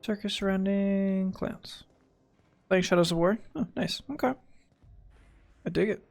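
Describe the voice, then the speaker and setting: A man's voice: high-pitched, measured pace, gravelly, darkish tone, faint music behind. A man doing casual gameplay commentary as a streamer, swearing and muttering to himself in short fragments. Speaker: video game streamer.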